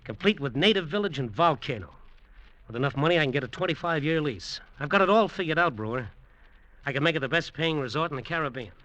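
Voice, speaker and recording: An actor playing a scheming, embittered hustler in an old radio drama, talking in hard-boiled slang. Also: male voice, a little high, animated, fast talker, sharp tone, low-quality recording. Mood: eager, pitching. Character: scheming, embittered hustler narrating his island venture. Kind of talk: 1940s-50s radio drama acting and first-person narration. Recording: old radio broadcast recording, music behind.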